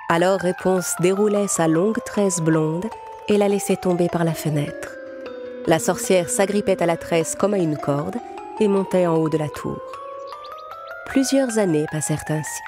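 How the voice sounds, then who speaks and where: A woman reading a scripted fairy tale as a storyteller. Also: on the low side. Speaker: narrator. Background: music.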